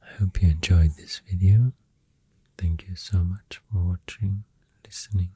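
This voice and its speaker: A soft-spoken ASMR host with a Swedish accent, talking in a hushed, breathy, partly whispered voice, halting and gentle, close to the microphone. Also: deep voice, fairly even intonation, no echo.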